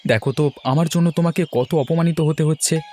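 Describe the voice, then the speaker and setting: A man's voice: a little high, steady tone, a little fast, mellow, faint music behind, natural voice. Man acting a mild, earnest cartoon family man. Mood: worried. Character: meek, kindly male family elder or husband in cartoon. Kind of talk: Bengali moral-tale cartoon voice acting.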